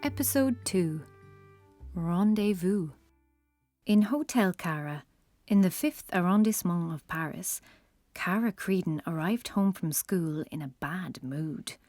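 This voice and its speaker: A woman with a lowish voice reads a scripted children's story as its narrator, with faint music underneath. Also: expressive, a little slow, darkish tone, no room sound.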